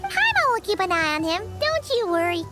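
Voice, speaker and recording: A squeaky, bubbly tiny floating companion. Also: female voice, a little fast. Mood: chirpy. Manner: bubbly, excitable, talks about herself in third person. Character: tiny floating girl companion, chirpy and nosy. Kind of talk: video game cutscene dialogue. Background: background music.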